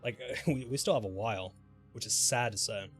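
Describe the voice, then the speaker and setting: A man's voice: lowish voice, normal speed. A man chatting casually and informally on a live gaming stream, speculating in a rambling way. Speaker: game livestreamer. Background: background music.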